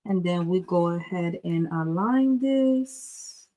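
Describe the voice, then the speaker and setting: An excitable woman from the Dominican Republic runs a live craft tutorial, talking straight to her viewers. She chats fast and loose, says y'all a lot and drops in a bit of Spanish, with a nervous edge to her voice. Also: lowish voice. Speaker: craft livestream host. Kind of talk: live craft tutorial with chat shout-outs.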